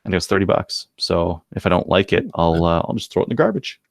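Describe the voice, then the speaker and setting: A man's voice: sits low, big pitch swings, fast, vocal fry, dry recording, studio quality. A man co-hosting a podcast, chatting casually and jokingly in a laid-back way. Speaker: podcast co-host. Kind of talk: casual banter about shooting and gear.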